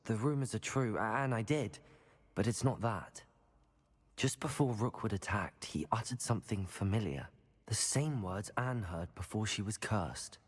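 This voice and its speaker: An actor playing an earnest, steady young wizard student, speaking plainly in a video game. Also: male voice, fairly low pitch, normal speed, slightly rough. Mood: grave, earnest. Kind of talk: dramatic dialogue, video game acting. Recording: reverberant hall, music behind.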